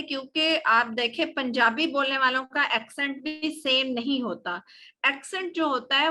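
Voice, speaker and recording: High, bright-voiced Pakistani woman teaching. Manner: explanatory, code-switching between Urdu and English. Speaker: English teacher. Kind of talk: live online class question-and-answer.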